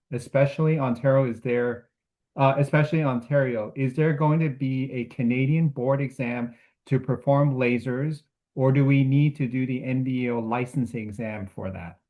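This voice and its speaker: A man moderating a webinar on a video call, reading out students' questions in a halting way, with many fillers and restarts. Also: mid-range voice, highs cut off. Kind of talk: hosting a webinar Q&A.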